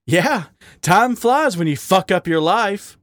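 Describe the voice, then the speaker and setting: A man's voice: high-pitched, huge pitch swings, takes it slowly, no echo, pitched above the performer's own voice, heavily acted. A man voicing a bitter, lovesick male raccoon in a dramatic story reading, goading and pleading in a world-weary way. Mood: firm, rueful. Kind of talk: dramatic audiobook character reading.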